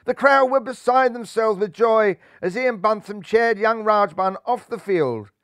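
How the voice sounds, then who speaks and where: A man's voice: high voice, a little slow, a bit bright, no room sound, clean studio sound. A man reading a storybook aloud as dramatic, theatrical narration, building suspense.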